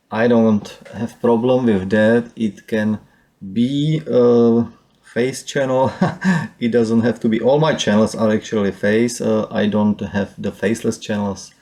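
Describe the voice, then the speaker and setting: A man with a Czech accent walking through his channel's stats, speaking non-native English haltingly with many fillers. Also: mid-range voice, warm tone. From Czech Republic. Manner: halting, with frequent "uh" and "let me say". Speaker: YouTuber. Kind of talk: screen-share channel walkthrough.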